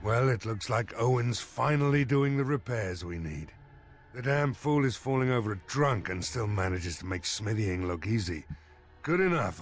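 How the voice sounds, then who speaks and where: An actor voicing a gruff, weary, plain-spoken rustic village mayor in a fantasy video game. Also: male voice, fairly low pitch. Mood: grim resolve.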